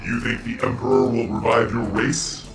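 A man voicing a menacing, contemptuous cyborg ninja in a fighting game, with gravelly, metallic robot processing and cold, declamatory threats. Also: medium pitch, little pitch movement, moderate pace, loud music behind the voice. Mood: cold menace. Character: menacing, contemptuous male cyborg ninja assassin bent on killing. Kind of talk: video game fighting dialogue.

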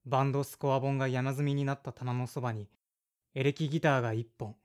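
A man's voice: mid-range voice, little pitch movement, fast talker, smooth, close to an everyday voice. A man acts as a resentful thirty-something former rocker in an audio drama. He speaks bluntly and sullenly in a mid-range voice, telling his own story. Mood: brooding, nostalgic. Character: resentful 35-year-old son, former teenage rock guitarist. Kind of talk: audio drama dialogue and first-person narration.

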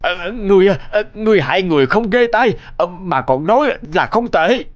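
A man's voice: high voice, expressive, a little fast, dull audio, voice pushed up. Man doing a stammering, anxious village official. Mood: frightened. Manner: nervous, stammering with fillers.

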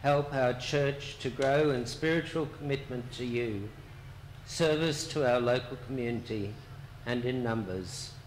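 A man leads liturgical prayers in an unhurried, steady cadence, at a middle pitch. The sound is roomy and echoing, with a noticeable murmur of background noise. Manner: slow, measured recitation. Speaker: priest leading the service. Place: church. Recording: echoey church with background noise.

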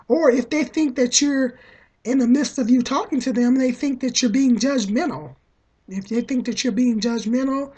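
Woman, 30s, a bit high, hoarse from a cold, rambling webcam vlog at home. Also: a little mellow. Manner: rambling, repeats herself, many ums. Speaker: vlogger. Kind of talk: casual talk to camera. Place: small room at home, indoors. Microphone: laptop webcam at desk.

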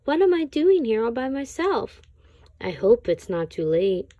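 A woman's voice: on the high side, sing-song, unhurried, no room sound, highs cut off, pitched above the performer's own voice. A woman voicing a grumpy little boy penguin who likes solitude in a storybook, with plain, sighing delivery. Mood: wistful.

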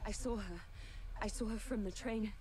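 An actress playing a distressed woman questioned by police, speaking in a hushed, breathy voice. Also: medium pitch, normal speed, very noisy, lightly acted. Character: distressed woman questioned about a missing nanny. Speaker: film character. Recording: film trailer audio over loud music.

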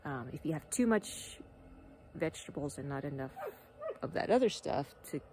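A woman's voice: low-pitched, lively intonation, moderate pace, darkish tone, slight background noise. Chatty woman vlogging outdoors by phone. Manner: rambling, chatty, many fillers, sometimes trails into a whisper. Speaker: vlogger and wellness guide. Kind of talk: casual chatty vlog to camera. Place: outdoors at a ranch beside a travel trailer. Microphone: phone held at arm's length.

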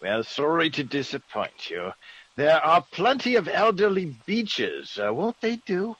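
An actor playing a fussy, sceptical British gentleman in a vintage radio drama, genteel, blustery and exclamatory. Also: fairly high pitch, huge pitch swings, unhurried, slightly rough, dry recording, exaggerated voice. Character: fussy, excitable, sceptical English gentleman of the manor. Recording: noisy vintage radio recording.

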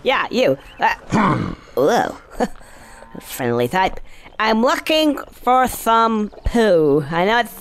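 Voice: medium pitch, very animated, drawn-out words, fairly bright tone, a little hiss or hum, full character voice. A performer voicing an easygoing cartoon squirrel hero in a video game, wry and hesitant, muttering asides to himself over music. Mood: wry, puzzled. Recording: music underneath.

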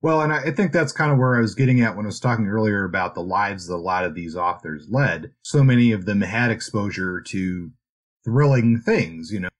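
A man co-hosting a podcast, discussing books in a casual, measured and slightly hedging way. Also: lowish voice, expressive. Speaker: podcast host.

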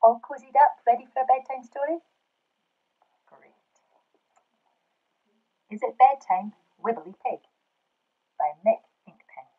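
A woman in her thirties reads a bedtime story to children. Her voice is fairly high and very animated, with a thin, phone-like sound from a device in a room at home. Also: slow pace, pauses often, breathy edge. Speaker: church volunteer storyteller. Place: small book-lined room at home.

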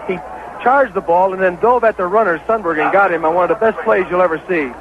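An American man calling baseball play-by-play on 1970s radio as an excitable, partisan announcer. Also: high voice, fast talker, crowd noise. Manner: excitable, partisan home-team caller. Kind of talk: 1970s live radio sports commentary. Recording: dull, noisy vintage radio broadcast.

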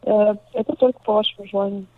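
Brisk woman on a dull phone line. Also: medium pitch, steady tone, dry recording. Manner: polite, scripted, businesslike. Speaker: customer support agent. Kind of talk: formal phone support. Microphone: telephone.

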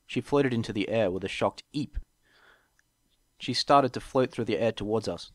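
Low-voiced man narrating an audiobook. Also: fairly quick, no echo. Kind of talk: scripted first-person story narration.

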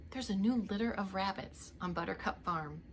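A woman's voice: medium pitch, moderate pace, slight background noise. A middle-aged woman reading a picture book aloud as a preschool teacher, expressively, with little asides to young children. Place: indoors, home living room.

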